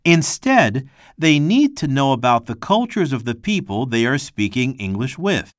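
A man reads a textbook passage aloud in a measured, scripted way. His pitch rises and falls a lot from phrase to phrase. The sound is close and dry, with no room echo. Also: sing-song. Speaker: textbook audio reader.

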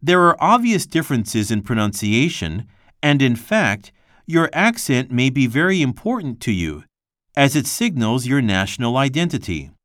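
A man reading a textbook passage aloud for a language lesson, slow and careful, with clear pauses between phrases. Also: medium pitch, very animated, a bit bright, no echo, clean studio sound. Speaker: textbook reader.

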